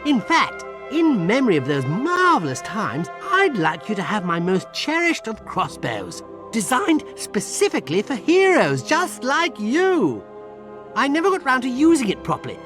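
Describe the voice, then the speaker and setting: A man playing a chummy, sentimental, boyish hero archer in a game cutscene, gushing and theatrical. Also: high-pitched. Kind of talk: animated game cutscene acting.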